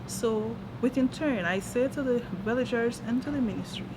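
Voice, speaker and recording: Guyanese woman over loud noise. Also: fairly high pitch, moderate pace. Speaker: village leader. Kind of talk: interview answers.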